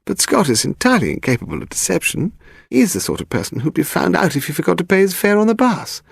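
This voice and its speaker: A man's voice: high voice, huge pitch swings, quick pace, vocal fry, slightly rough, no room sound, clean studio sound, pitched above the performer's own voice, heavily acted. A man voicing a sweet, sceptical wife in a detective story. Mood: disbelieving. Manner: sweet, incredulous.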